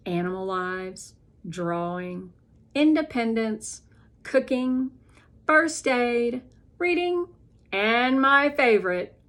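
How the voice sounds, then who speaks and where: A woman narrating a children's novel as an expressive, deliberate read-aloud. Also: on the high side.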